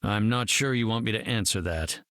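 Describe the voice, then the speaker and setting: A man's voice: on the low side, a bit bright, no echo, studio quality, close to an everyday voice. Man playing a precise, sober scientist hero. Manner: precise, measured, earnest. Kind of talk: video game voice acting.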